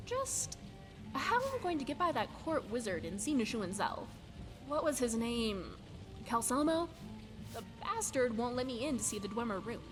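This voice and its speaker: A woman playing a scatterbrained, bookish young female scholar in a video game, haughty and easily distracted, with hesitant asides. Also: a little high. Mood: impatient, distracted. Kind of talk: video game companion dialogue. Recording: game music behind the voice.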